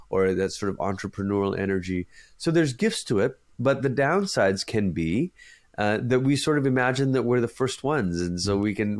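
A man from Malaysia, a pastor, giving a long thoughtful interview answer and pausing to search for words. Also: medium pitch.

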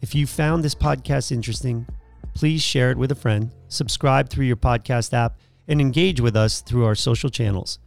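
Male announcer reading a scripted outro; even and measured, with a gravelly edge. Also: mid-range voice. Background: soft music.

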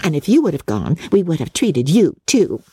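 A woman's voice: deep voice, sing-song, moderate pace, warm tone, husky, dry recording, pitched above the performer's own voice, full character voice. A woman voicing a giddy, boastful teenage girl from a period novel, gushing and exclaiming in a dramatic audiobook reading. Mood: loud, merry.

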